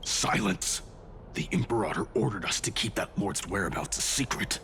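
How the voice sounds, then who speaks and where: An actor playing a haughty, fiercely loyal imperial guard officer in a video game, pompous and scornful in formal speech. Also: male voice, deep voice, expressive, moderate pace, bright, forceful tone, slight background noise. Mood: indignant. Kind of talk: video game character acting. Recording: game music behind.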